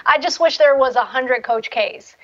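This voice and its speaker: Young woman. Articulate, affirming panel host, high voice, lo-fi call audio. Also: normal speed. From Somalia, raised in Atlanta from childhood. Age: young adult. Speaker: panel moderator. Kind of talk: panel discussion, conversational. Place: indoor room at home, on a video call. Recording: dull video-call audio.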